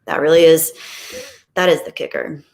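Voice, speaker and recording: A woman's voice: low voice, steady tone, normal speed, a little mellow. A woman hosting a livestream, chatting casually and reading out viewer comments in a gushing, appreciative, playful way. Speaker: livestream host.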